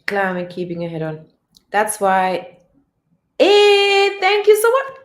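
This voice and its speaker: A woman's voice: medium pitch, slow pace. Encouraging woman in an echoey room. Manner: animated, encouraging, repeats herself. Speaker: vlogger reading live chat. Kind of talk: casual livestream chat.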